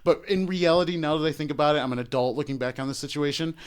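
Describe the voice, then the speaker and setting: A man's voice: fairly high pitch, quick pace, clean tone. A man co-hosting a podcast, casually telling a personal story with laughter and acted-out quotes. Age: adult. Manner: animated, laughing, acts out quotes. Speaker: podcast co-host.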